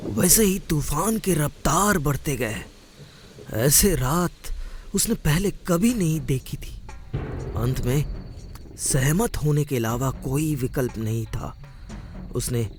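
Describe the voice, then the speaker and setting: A man's voice: a little high, expressive, slow, music in the background. A man narrating a suspenseful cartoon story in a scripted, dramatic storytelling style.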